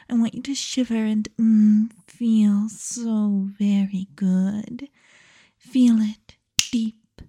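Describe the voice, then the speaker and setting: A woman hypnotist speaking slowly in a hushed, commanding way, often dropping into a whisper, close to the microphone. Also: fairly high pitch, breathy edge, no echo.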